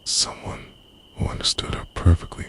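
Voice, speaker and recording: A man's voice: normal speed, lightly acted. A man playing a lovestruck, obsessive dream invader in an intimate ASMR monologue, confessional, brooding and half-whispered. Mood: yearning, guilty.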